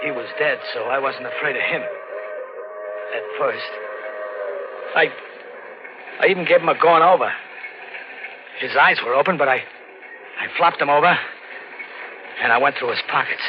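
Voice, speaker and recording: Actor as anxious drifter on the run, old-radio sound. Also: male voice, medium pitch, lightly acted. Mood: brooding. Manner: halting, anxious, repeats words, street slang. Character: frightened drifter with a record, guilt-ridden killer on the run. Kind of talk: 1940s radio thriller acting, first-person narration. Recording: dull old radio recording with music. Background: dramatic music.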